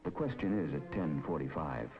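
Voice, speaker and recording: Very deep grave 1950s documentary narrator. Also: male voice, expressive, moderate pace. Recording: dull 1950s film soundtrack with music.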